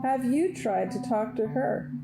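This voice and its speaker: Woman doing calm frank mother earth in an audio drama, very slow, echoey, guitar music under it. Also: on the high side, vocal fry. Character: serene, frank motherly Earth, a little smug.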